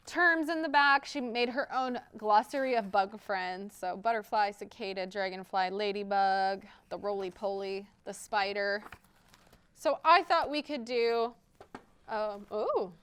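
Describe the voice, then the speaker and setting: A woman's voice: mid-range voice, fairly bright tone, clear, resonant tone. A woman hosting a children's storytime, reading aloud expressively and speaking directly to young listeners. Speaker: library storytime host and children's reader. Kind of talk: picture-book read-aloud with interactive rhymes for young children.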